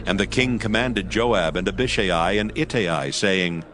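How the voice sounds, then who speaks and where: A man narrating a dramatized scripture audiobook in a solemn, deliberate storytelling manner. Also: deep voice. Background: music.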